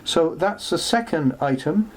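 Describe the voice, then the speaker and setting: A man's voice: fairly high pitch, lively intonation. Hesitant man over noisy hum. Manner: hesitant, halting, thinking aloud. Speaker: presenter showing vintage electronics for restoration. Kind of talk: unscripted workshop show-and-tell. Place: workshop. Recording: clearly noisy, with background hum.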